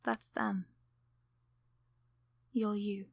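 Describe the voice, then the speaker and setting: Sleep paralysis demon played by a woman: gentle, sorry, comforting. Slow, half-whispered ASMR delivery, close and dry. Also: mid-range voice, level tone, creaky, breathy edge, dry recording, exaggerated voice. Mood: warm, encouraging. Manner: soft-spoken, often whispering, reassuring. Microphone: close microphone.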